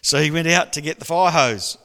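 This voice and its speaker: A middle-aged man preaching a sermon to his church with a gravelly voice, telling stories animatedly and breaking into laughter. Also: fairly high pitch, a little fast. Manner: animated storytelling with bursts of laughter. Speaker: pastor. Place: church hall. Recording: long church reverb.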